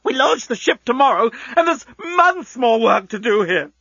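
An old male genius, vain and melodramatic, for a storybook. The voice leaps high and strains with a husky edge, every word heavily emphatic. The audio is a little dull. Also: sing-song, moderate pace, pushed and bright, dry recording, full character voice. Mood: furious, outraged. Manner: histrionic, emphatic.

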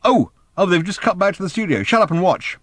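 Man putting on an agitated, high-pitched, bewildered fellow. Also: lively intonation, a little fast, a bit bright, no echo, clean studio sound. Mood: flustered. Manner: flustered, hesitant, exclaiming. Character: agitated, bewildered, fretful Englishman, space traveler. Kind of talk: audiobook character acting.

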